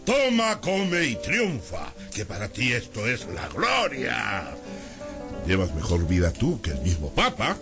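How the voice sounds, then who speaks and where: An actor playing a stingy, pious, gullible priest who grumbles pompously, with exaggerated exclamations, in a radio drama. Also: male voice, on the low side, wildly swooping pitch, slow pace, exaggerated voice. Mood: pompous scolding. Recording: studio radio drama with music bed.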